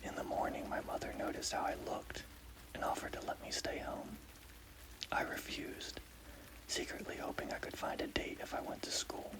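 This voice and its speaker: A man's voice: normal speed, low-quality recording. Whispering man narrating a scary story. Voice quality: breathy unvoiced whisper.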